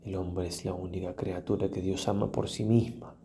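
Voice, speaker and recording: A man, a priest leading a guided prayer meditation in a solemn, reflective manner with long pauses and softly trailing phrases. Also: low voice, flat delivery, slight background noise.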